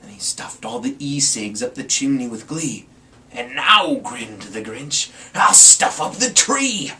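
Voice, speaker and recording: A man giving a playful, theatrical dramatic reading of a rhyming storybook as an amateur storyteller. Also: on the high side. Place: indoors, in front of a green screen. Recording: distant mic, faint room noise.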